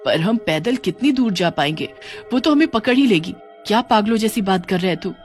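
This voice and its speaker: A man's voice: sits high, expressive, a little fast, soft background music, pitched above the performer's own voice. Man voicing a cautious, worried storybook mother. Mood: alarmed. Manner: cautioning, moralizing. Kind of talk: Hindi storybook acting.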